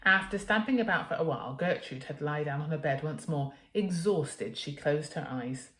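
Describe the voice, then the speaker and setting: A woman's voice: deep voice, normal speed, fairly bright tone. A woman reading a children's story aloud as a teacher, with dramatic, emphatic expression. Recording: echoey room.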